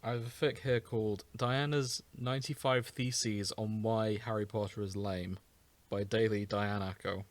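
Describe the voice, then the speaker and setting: A man's voice: fairly low pitch, fairly even intonation, measured pace, no room sound. A British man co-hosting a podcast in casual banter, with a dry, crude sense of humour. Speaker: podcast co-host.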